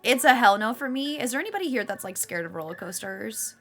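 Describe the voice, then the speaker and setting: A woman streaming live, chatting casually with her viewers and laughing often, her voice a little raspy. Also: fairly high pitch, animated, quick pace, fairly bright tone, soft background music. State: slightly raspy voice.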